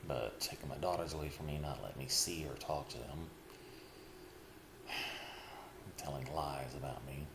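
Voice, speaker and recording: A middle-aged man speaking a slow, heavy personal confession, pausing often and sighing. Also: extremely low pitch. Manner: slow, heavy, halting with sighs. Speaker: vlogger. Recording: echoey room with clear background noise.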